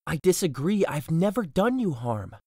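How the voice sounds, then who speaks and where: A man playing an earnest, higher-voiced young peacemaker. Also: big pitch swings, normal speed, mellow, no echo. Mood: calm, conciliatory. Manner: earnest, pleading. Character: earnest, peace-seeking young gentleman refusing to fight. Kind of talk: audio drama acting.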